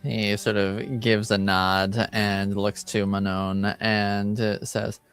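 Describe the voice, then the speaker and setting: Slow-talking man narrating a tabletop game. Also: lowish voice, little pitch movement, fairly bright tone, smooth, faint music behind. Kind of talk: casual scene narration and banter.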